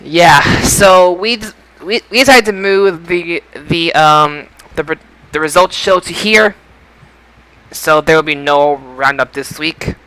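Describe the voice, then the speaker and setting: A man hosts a casual podcast in a clear, forceful voice that sits slightly high. He introduces things like a ring announcer and sometimes stumbles over his words. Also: slow, no room sound, poor audio. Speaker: podcast host.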